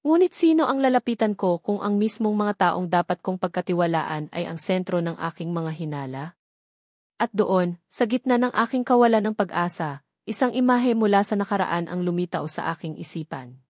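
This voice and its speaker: A woman with a Filipino accent reading a scripted first-person dramatic story in an earnest, measured storytelling manner. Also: fairly low pitch, animated, a little mellow, dry recording, clean studio sound. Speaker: story narrator.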